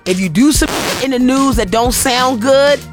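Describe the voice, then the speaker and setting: A woman's voice: high voice, moderate pace, music in the background. A woman hosting a podcast, reading its promo in a sassy, blunt, slangy way.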